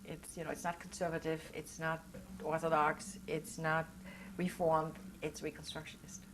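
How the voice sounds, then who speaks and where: A woman's voice: sits low, flat delivery, normal speed, noisy background. An older woman whose first language is German, telling her life story in an oral history interview, reflective and earnest as she searches for words.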